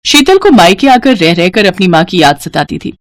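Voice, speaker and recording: A woman's voice: lowish voice, sing-song, moderate pace, noisy background. A woman reading scripted storytelling narration for a Hindi-style family cartoon.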